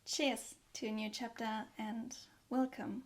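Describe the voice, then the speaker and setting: A German woman with a German accent, vlogging in a gentle, hesitant way with frequent ums while baking in her home kitchen. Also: fairly high pitch, level tone, slow, reverberant. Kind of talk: soft-spoken vlog narration.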